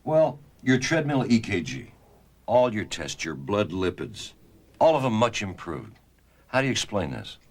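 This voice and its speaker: Man acting puzzled low-voiced hospital doctor. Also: very animated, unhurried, exaggerated voice. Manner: questioning, baffled. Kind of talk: scripted dramatization dialogue.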